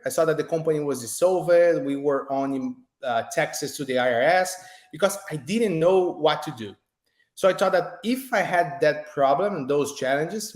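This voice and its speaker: Casting: Brazil-born male founder, slightly high voice, roomy echo, friendly and restarting. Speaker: guest, company founder. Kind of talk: casual interview. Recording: echoey room.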